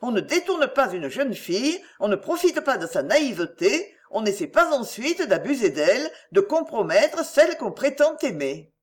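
A woman's voice: fairly high pitch, sing-song, normal speed, few pauses. A woman voicing a self-pitying, scheming middle-aged mother in an audiobook, plaintive, melodramatic and wheedling. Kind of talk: acted audiobook dialogue.

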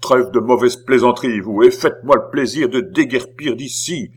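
A man voicing a brutal, hot-tempered jealous lover, shouting and blustering as in a storybook. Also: fairly high pitch, animated, a little fast, breathy edge, voice pushed up. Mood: threatening.

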